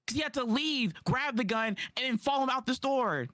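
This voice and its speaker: A man doing casual livestream reaction commentary, incredulous and quick to rebut, on a close mic. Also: very high-pitched, no room sound. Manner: animated, incredulous, rapid rebuttals.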